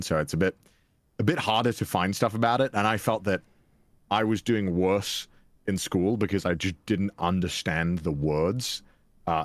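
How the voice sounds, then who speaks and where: A young Welsh man being interviewed, telling stories from his past in a loose, self-deprecating way. His voice sits very low, and the recording is close and dry with no room sound. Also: dry recording. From Wales. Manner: chatty, self-deprecating, frequent restarts and laughs. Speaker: guest. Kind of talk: casual interview chat.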